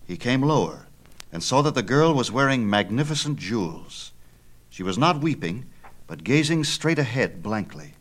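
A man narrating a myth as scripted storytelling, with theatrical, declamatory delivery in measured phrases. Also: medium pitch, sing-song.

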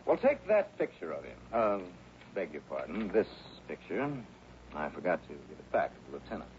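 An actor playing a wry, brisk, self-assured investigator in a 1960s radio detective drama. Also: male voice, sits low, very animated. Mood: insistent. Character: wry, self-assured male insurance investigator, hard-boiled sleuth. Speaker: lead actor and narrator of a radio detective series. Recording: muffled, noisy old radio recording.

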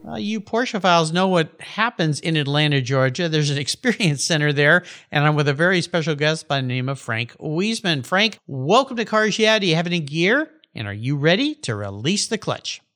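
Upbeat bright-voiced male podcast host. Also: a little high, expressive, normal speed, few pauses. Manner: upbeat, enthusiastic. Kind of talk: podcast interview and ad reads.

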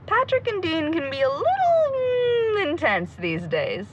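A woman's voice: high-pitched, very animated, unhurried, highs cut off, heavy background noise. A woman playing a blunt, bossy, outspoken young woman in an audio drama. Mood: confiding. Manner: outspoken, sweary.

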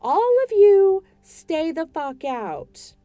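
A fiery young homeowner yells and swears at everyone around her, as a woman narrator acts her out. Her voice stays mellow even when loud. Soft music plays under the story. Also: extremely high pitch, extremely slow, highs cut off, pitched above the performer's own voice, heavily acted. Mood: furious. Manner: shouting, swearing.

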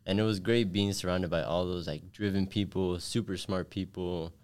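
Young guy from miami, really low voice, smooth, chill and chatty. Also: normal speed. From Miami, Florida. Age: young adult. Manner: relaxed, thoughtful, fillers like "like". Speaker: guest, engineering student. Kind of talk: casual interview chat.

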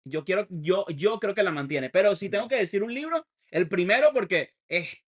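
A man's voice: high voice, expressive, moderate pace. A Venezuelan man from Caracas with a Venezuelan accent, co-hosting a casual podcast chat among friends. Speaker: podcast co-host.

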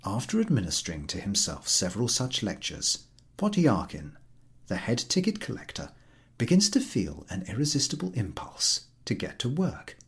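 A man's voice: fairly low pitch, huge pitch swings, moderate pace. A man narrating a classic short story in a scripted, literary reading style. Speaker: story reader.

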